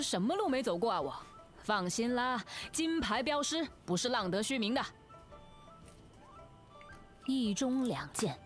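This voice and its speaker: High, lively, energetic young boy. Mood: playful, confident. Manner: energetic, boastful, rhythmic delivery. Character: spirited, cheerful young boy escort and lion dancer. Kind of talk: video game voice acting.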